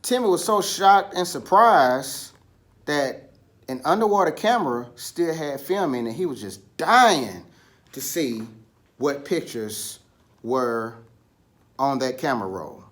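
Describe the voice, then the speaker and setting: A man telling a children's story in an animated style, with dramatic pauses and drawn-out, emphatic words. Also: medium pitch. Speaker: storybook reader.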